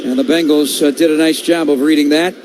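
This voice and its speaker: A man's voice: a little high, fairly even intonation, fairly quick. An American man doing live play-by-play radio commentary on American football, in clipped phrases. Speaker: play-by-play sports announcer. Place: stadium broadcast booth. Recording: clear stadium ambience noise behind voice. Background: stadium ambience.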